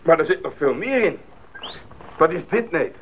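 A man's voice: on the high side, expressive, normal speed. A man in a radio play playing a cautious, inquisitive companion who often sounds questioning. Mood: curious, moved. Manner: questioning, wary. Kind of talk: Dutch radio play acting. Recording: tinny old radio recording, loud engine noise.

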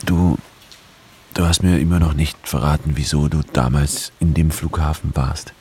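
A man's voice: a bass voice, steady tone, moderate pace, darkish tone, a little hiss or hum. An actor playing a reflective, somewhat hesitant employee who tells his story in a radio drama. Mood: guarded. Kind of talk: radio drama narration and dialogue.

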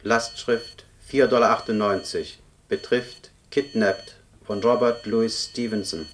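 An actor voicing a book club's computer in a radio play, reciting formal demand notices in an impersonal, stiff way. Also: male voice, fairly low pitch, fairly even intonation, takes it slowly. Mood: impersonal, official. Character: male book club computer reading out form demands. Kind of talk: scripted radio play, formulaic official notices. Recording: noisy, with background ambience.

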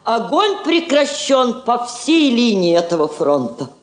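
Stately woman diplomat, slow, expressive pitch, declamatory Soviet drama, roomy recording. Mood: dignified, assured. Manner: measured, heartfelt, declamatory. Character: dignified, warm-hearted woman Soviet ambassador. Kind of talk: solemn Soviet stage drama acting.

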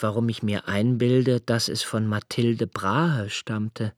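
A man's voice: lowish voice, dry recording, clean studio sound, natural voice. A man voicing a brooding young poet. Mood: reflective. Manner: measured, solemn literary reading. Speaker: narrator. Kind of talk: radio play narration.

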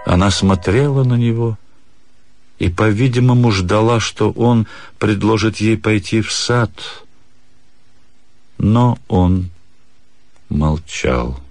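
A man narrating a literary story for radio in a measured, pensive manner. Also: fairly low pitch, expressive, darkish tone, no room sound.

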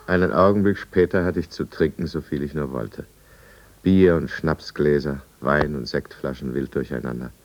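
Remorseful drinker-narrator, 1950s radio drama; bottomless bass, measured confession, dull archival recording. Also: male voice, steady tone, a little hiss or hum. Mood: confessional, tense. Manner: measured, brooding. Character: remorseful male drinker confessing his own downfall. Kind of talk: scripted first-person narration in 1950s German radio drama.

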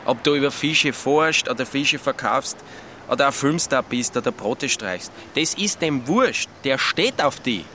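Blunt Austrian country lad, bright-voiced. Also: male voice, medium pitch, animated, a little slow, a little hiss or hum. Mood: laconic, teasing. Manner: laconic, colloquial dialect. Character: down-to-earth, blunt Alpine nature lad, unfazed, yodelling. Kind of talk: naturalistic radio play dialogue.

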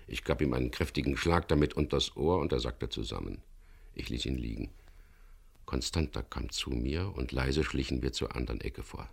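An actor playing a resolute, daring hero who also narrates his story in a gravelly voice in a radio crime drama. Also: a bass voice, full character voice.

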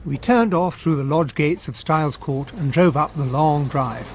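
An actor playing a reflective English gentleman narrating his memories in a radio drama, measured and literary, with an upper-class accent. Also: fairly high pitch, background noise, natural voice. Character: Hastings as reflective older narrator, English gentleman recalling events. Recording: dull, muffled radio drama recording.

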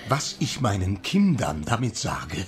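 A man's voice: fairly low pitch, huge pitch swings, measured pace, a little hiss or hum. An actor playing a stern, pious, middle-aged father who speaks emphatically in a radio drama. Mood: agitated, indignant. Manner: emphatic, rhetorical, unyielding. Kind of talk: German radio drama acting.

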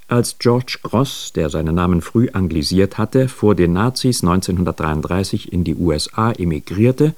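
A man reading a scripted biographical introduction for radio, with deliberate, emphatic phrasing. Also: low voice, mellow, dry recording.

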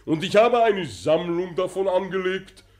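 A man's voice: high voice, measured pace. A man playing a pompous, self-righteous professor and moral crusader in a German radio play, didactic and easily affronted. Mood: lecturing, solemn.

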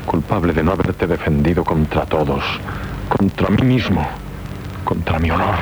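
A man's voice: low-pitched, moderate pace, background noise. An actor playing a lovelorn, guilt-ridden young nobleman warrior in a 1970s radio play, speaking solemnly and earnestly with a Castilian accent. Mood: sombre, remorseful. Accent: Castilian Spanish. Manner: solemn, earnest, theatrical delivery. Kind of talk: 1970s Spanish radio theatre acting. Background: prominent music.

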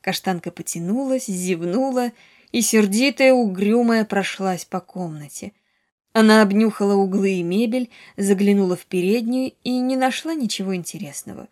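A woman storyteller giving an expressive, dramatic narration of a children's story, with deliberate pauses. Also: medium pitch.

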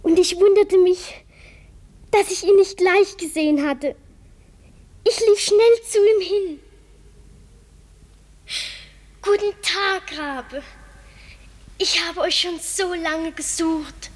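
A trusting young girl tells her tale in a radio play. She speaks high and slowly, with deliberate, dreamy wonder. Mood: curious, eager. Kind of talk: German radio play acting, storytelling narration.

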